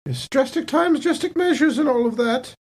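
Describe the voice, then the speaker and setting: A woman playing a suspicious, smooth-talking female space station agent with arch, hesitant politeness in a tabletop game. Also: sits high, animated, moderate pace, a little mellow. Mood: conspiratorial. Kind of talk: improvised tabletop roleplay.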